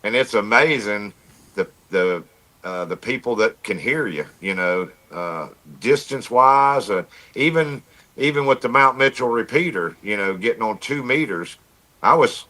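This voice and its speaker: A man's voice: fairly low pitch, lively intonation, moderate pace, pushed and bright. A plainspoken man with a folksy manner, telling stories casually in a livestream chat and repeating himself as he goes. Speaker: amateur radio operator, livestream guest.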